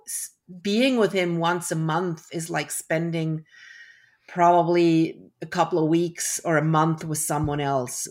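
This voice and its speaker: A middle-aged woman from Switzerland, a guest in a casual interview over a video call, reflective and full of restarts. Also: fairly low pitch. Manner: reflective, with frequent restarts and fillers.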